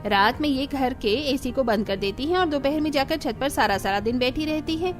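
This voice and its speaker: Woman acting haughty elder sister-in-law, very high voice, irritable, nagging, cartoon drama, music behind. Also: normal speed, lightly acted. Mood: fed up.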